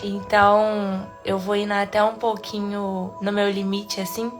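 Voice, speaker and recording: A woman with a Brazilian accent and a congested cold leads a casual live devotional, chatty with soft laughs between phrases. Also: mid-range voice, little pitch movement, measured pace, music in the background. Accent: Brazilian Portuguese. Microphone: phone or webcam livestream.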